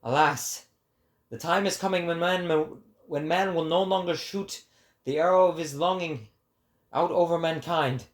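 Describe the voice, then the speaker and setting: A man acts out a lofty male prophet delivering a philosophical oration. The voice sits fairly high and moves very slowly, each phrase weighed out with grave, sorrowful, declamatory weight. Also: lightly acted. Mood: solemn warning.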